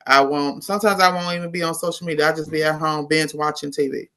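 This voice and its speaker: A woman in her fifties, a nurse educator, talking in a relaxed, reflective way as an interview guest. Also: low-pitched, fast talker. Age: 50s. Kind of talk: casual interview conversation.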